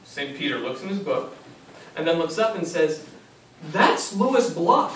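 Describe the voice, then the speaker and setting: High-voiced young grandson, very echoey stage actor. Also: male voice, sing-song, measured pace, a bit bright. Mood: reflective, wistful. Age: young adult. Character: fond, patient young grandson remembering his grandfather. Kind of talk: memory play monologue and dialogue. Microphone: far audience camera. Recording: very echoey theater, distant camera, faint noise.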